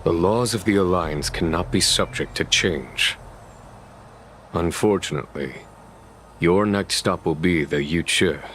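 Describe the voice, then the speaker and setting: Low-voiced, composed general. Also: male voice, slight background noise. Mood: calm, firm. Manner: measured, formal, calm authority. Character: composed male general, courteous and shrewd. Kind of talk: dramatic video game voice acting.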